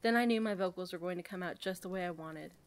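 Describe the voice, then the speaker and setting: A woman's voice: lowish voice, quick pace, a little hiss or hum. A woman co-hosting a podcast, moving between casual chat and reading passages aloud. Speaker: podcast co-host.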